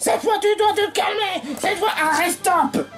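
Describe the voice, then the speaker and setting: A performer voicing an excitable, cheeky cartoon sidekick who talks in a shrill, scolding, insistent way. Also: a little slow, soft background music, heavily acted.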